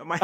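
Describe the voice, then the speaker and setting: A man co-hosting a casual tech podcast, halting and often restarting words as he searches for phrasing. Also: very high voice, vocal fry. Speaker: podcast co-host.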